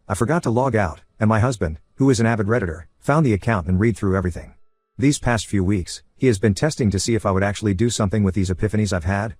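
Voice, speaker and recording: A man reading a first-person online story aloud as scripted narration, in a quick, even storytelling manner. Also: fairly low pitch, no echo. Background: faint background music.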